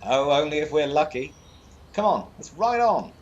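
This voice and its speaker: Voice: medium pitch, very animated, moderate pace, dull audio, natural voice. An actor playing a cocky, wry sword-fighting mage in a fantasy audio drama, speaking casually and boastfully. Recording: noisy night-time cricket ambience behind.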